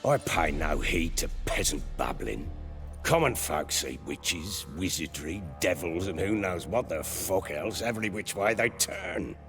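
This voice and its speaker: A gravelly, deep-voiced, bitter baron. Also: male voice, lively intonation, unhurried, music in the background, exaggerated voice. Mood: weary, matter-of-fact. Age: middle-aged. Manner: blunt, earthy, archaic turns of phrase. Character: gruff, bitter, foul-mouthed self-made baron, middle-aged soldier. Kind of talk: dramatic video game acting.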